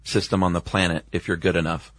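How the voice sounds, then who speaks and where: Low-voiced man, casual podcast co-host. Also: little pitch movement, quick pace, a bit bright, no echo. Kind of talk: casual conversational chat.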